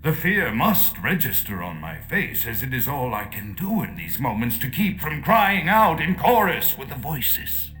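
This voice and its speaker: A man's voice: medium pitch, sing-song, unhurried, hardly any pauses, sharp tone. An actor playing a paranoid, fearful male vampire who dictates his journal in formal, ornate language for a game. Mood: fearful, agitated. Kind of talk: dramatic recorded-diary monologue. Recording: music behind the voice.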